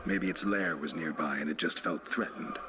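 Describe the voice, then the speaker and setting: A man playing a stoic, dry-witted monster hunter, terse and deadpan in game dialogue. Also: rumbling low, normal speed, background noise. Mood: calm, dry.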